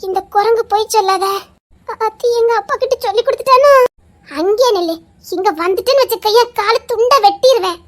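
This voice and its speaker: A fiery young sweetheart, acted by a woman, scolding and threatening her lover but laughing through it. She speaks in an extremely high, piping voice in a lively amateur drama. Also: fairly quick.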